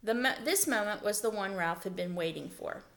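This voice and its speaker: A woman reading a children's story aloud as a teacher, in expressive narration. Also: medium pitch, moderate pace.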